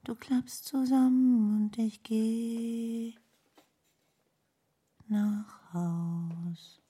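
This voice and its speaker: Radio drama: quiet, questioning woman, slow and husky, understated delivery. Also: fairly high pitch, steady tone, exaggerated voice. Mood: musing. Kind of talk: German radio play dialogue.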